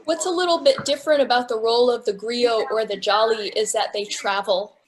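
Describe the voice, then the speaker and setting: A woman's voice: on the high side, fairly quick. A woman explaining something in a conversational way to fellow participants in a discussion. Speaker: book club discussion participant. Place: virtual discussion video call.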